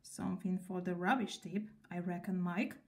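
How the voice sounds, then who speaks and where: A woman's voice: on the low side, flat delivery, normal speed, a little mellow, close to an everyday voice. Woman as a casual, kindly rubbish man. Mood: offhand.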